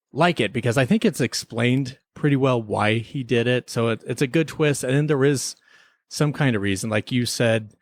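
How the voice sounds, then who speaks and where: A man's voice: mid-range voice, no echo. A man talks as a podcast co-host in casual review chat. He rambles through his opinions in loose, slangy phrasing. The sound is dry, with no room around the voice.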